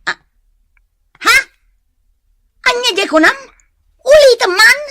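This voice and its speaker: A woman's voice: very high-pitched, animated, takes it slowly, bright, forceful tone, heavily acted. A woman acting a hot-tempered, money-minded wife in a radio drama, with a Filipino accent. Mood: exasperated, shrill.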